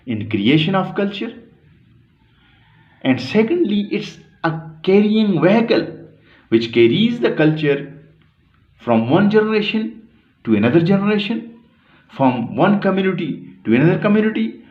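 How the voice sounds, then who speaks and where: Slow, deliberate male lecturer. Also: fairly high pitch. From Pakistan. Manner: slow, deliberate, with pauses and self-corrections. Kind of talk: academic lecture. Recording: echoey room, dull lo-fi sound.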